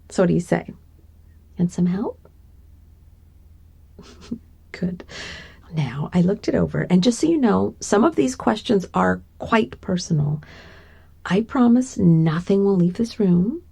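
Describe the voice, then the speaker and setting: A woman playing a gentle giantess secretary in an ASMR roleplay, doting and coy, with a soft, breathy voice that often slips toward a whisper. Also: on the low side, heavily acted. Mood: tender, attentive. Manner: tender, coy, hesitant, giggly asides. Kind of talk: ASMR roleplay, intimate one-sided conversation.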